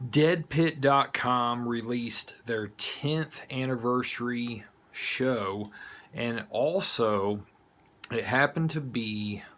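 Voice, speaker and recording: Male online show host, Southern US accent, mid-range pitch, unhurried, informal heartfelt delivery. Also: pushed and bright. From United States, not from the South. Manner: informal, blunt, occasional swearing. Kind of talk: casual heartfelt monologue to viewers.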